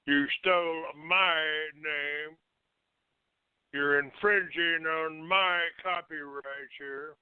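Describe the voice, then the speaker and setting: A man hosting a call-in show, ranting off the cuff in a halting, accusatory and combative manner. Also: on the high side, fairly even intonation, clean tone, no echo. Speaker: internet call-in show host. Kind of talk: unscripted talk show rant. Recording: narrow, tinny phone-like line.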